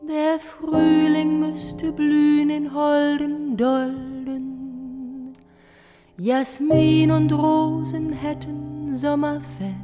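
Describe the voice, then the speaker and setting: A woman's voice: high-pitched, level tone, very slow. A woman singing a poem set to music, in a chanson-like song with instrumental accompaniment. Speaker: singer.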